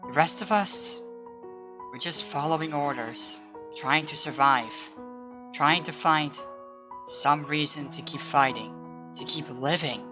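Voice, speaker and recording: A woman's voice: low-pitched, slow pace, long pauses, music in the background. A woman voicing a blunt, loyal female comrade in a dramatic roleplay, firm and earnest. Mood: weary, earnest. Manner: firm, scolding yet earnest. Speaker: voice actor.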